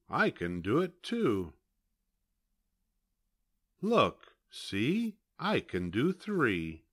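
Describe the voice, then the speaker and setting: An older man reading a children's picture book aloud in a slow, sing-song way, with sudden high, excited bursts. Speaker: storybook reader.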